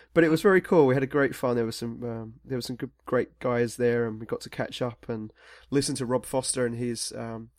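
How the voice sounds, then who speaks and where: A man's voice: mid-range voice, a little mellow, dry recording, studio quality. A man hosting a tech podcast, talking in a rambling, casual way with many fillers and restarts. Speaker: podcast host.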